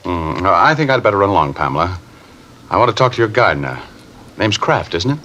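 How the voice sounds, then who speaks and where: An American actor playing a wry, confident private detective in an old-time radio drama, brisk and commanding. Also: male voice, deep voice, sing-song, sharp tone, heavily acted. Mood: smooth, polite.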